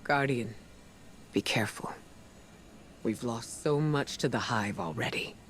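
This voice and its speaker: An actor playing a weary, dutiful middle-aged military commander in a video game, speaking in a grave, measured, troubled way. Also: male voice, mid-range voice, a bit bright, a little hiss or hum, natural voice. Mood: grave, worried. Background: music.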